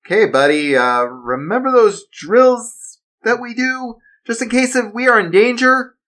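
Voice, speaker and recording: A man's voice: very high-pitched, lively intonation, unhurried, a bit bright, voice pushed up, full character voice. A man voicing a blunt protective father. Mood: reassuring. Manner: reassuring to his son, defiant to enemies. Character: protective retired assassin father, steady and blunt. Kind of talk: amateur storytelling.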